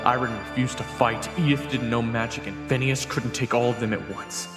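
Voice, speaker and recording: An actor playing a compassionate young fantasy hero in an audio drama, speaking earnestly and emotively. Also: male voice, mid-range voice, fairly even intonation, moderate pace, loud music behind the voice, close to an everyday voice. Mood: grim, urgent. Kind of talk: audio drama acting and narration.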